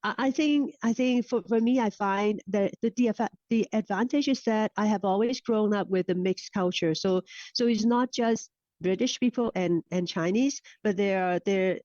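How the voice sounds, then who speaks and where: A middle-aged woman, a Cantonese speaker, answers interview questions in a halting way, repeating and restarting her words. Her voice sits at an ordinary pitch. The recording is dry and a little dull. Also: a little mellow, no echo. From Hong Kong. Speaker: guest. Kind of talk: casual interview chat. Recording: slightly dull, dry sound.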